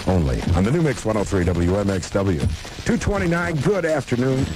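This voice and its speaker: Lower-voiced male radio announcer. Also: very animated, a little fast, rough recording. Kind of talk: soft-music station announcing. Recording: music bed under the voice.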